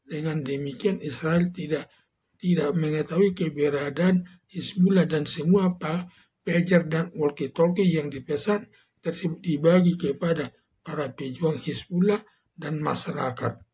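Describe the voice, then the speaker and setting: Older male commentator, slightly high and raspy, formal, halting read from a script. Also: dark tone. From Indonesia. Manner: formal, halting delivery with stumbles over words. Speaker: legal commentator, retired prosecutor and law lecturer.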